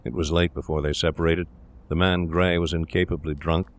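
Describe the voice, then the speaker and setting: A man's voice: low voice, level tone, brisk. A man reading scripted literary narration as the narrator of a gothic tale. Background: eerie ambience.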